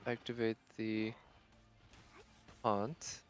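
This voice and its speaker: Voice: mid-range voice, flat delivery, drawn-out words. A game livestream host giving casual live commentary, thinking aloud in a tentative, musing way.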